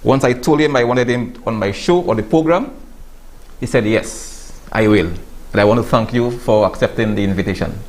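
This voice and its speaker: A man's voice: medium pitch, moderate pace, a little mellow. A man hosting a studio sports talk show, chatty and laughing, often repeating words as he introduces his guest.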